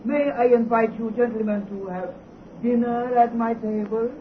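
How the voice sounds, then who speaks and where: An actor playing a courteous, sinister old man in a radio horror drama, welcoming people in a slow, formal manner. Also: extremely high pitch, slight background noise. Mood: courtly welcome. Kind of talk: 1930s radio horror acting. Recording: distant, muffled old radio recording.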